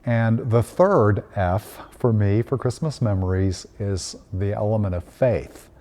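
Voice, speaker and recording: Low mellow guy, a priest from tennessee, slow gentle christmas stories, lots of uh's. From Tennessee, United States. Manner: gentle and unhurried, frequent "uh" fillers. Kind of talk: reflective Christmas storytelling message.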